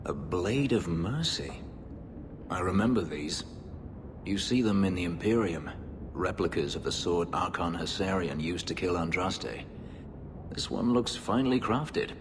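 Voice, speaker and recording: An actor playing a brooding, bitter elf warrior who was once a slave, speaking in a grave, measured way. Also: male voice, expressive, noisy background. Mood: wry. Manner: low, grave, measured delivery. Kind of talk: video game voice acting. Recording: long reverb under game music.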